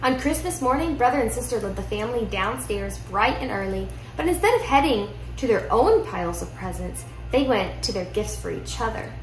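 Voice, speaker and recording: A woman's voice: fairly high pitch, expressive, brisk, few pauses. Young woman reading a storybook, roomy sound. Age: young adult. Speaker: tutor reading a picture book aloud. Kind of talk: children's storybook read-aloud. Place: indoor living room at home. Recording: roomy echo, music behind.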